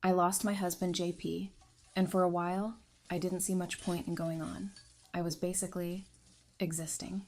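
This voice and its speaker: A woman playing an empathetic widowed survivor in an audio drama, talking in a gentle, sympathetic manner. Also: fairly low pitch, level tone, normal speed, natural voice. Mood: candid. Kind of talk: fan audio drama acting.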